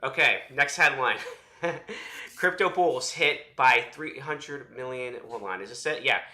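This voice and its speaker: A man's voice: medium pitch, slow, bright, forceful tone, echoey room. Congested man hosting a crypto stream. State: congested from a cold. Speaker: livestream host reading headlines. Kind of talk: casual livestream chat.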